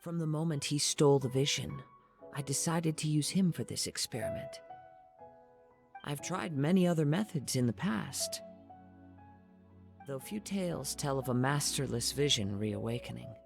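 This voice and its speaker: Calm, poetic wandering samurai youth. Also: male voice, fairly high pitch, gravelly. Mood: calm, thoughtful. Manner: measured, poetic, formal. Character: young wandering samurai swordsman, calm, reflective, melancholy. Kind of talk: video game story dialogue and narration. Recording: music behind the voice.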